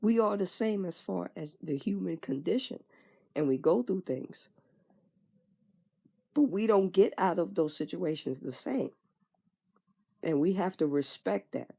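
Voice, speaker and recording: Gravelly, husky young woman venting. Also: fairly low pitch, animated, unhurried, pauses often, dark tone. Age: 20s. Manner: frank and conversational, swears casually, trails off with fillers. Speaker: vlogger. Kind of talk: candid personal venting monologue.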